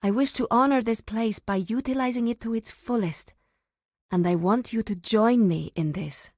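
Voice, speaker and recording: A woman playing a reverent, solemn druid of an ancient tribe in a fantasy video game, speaking formally with deliberate pauses. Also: on the high side, a little mellow, no room sound, clean studio sound. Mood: wistful, earnest.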